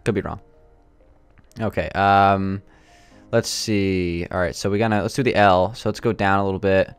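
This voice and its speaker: A young man with a slightly raspy, sore throat, thinking aloud with lots of fillers as he builds in a game. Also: deep voice, steady tone, normal speed, no room sound, soft background music. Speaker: gaming channel host. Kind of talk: casual gameplay commentary.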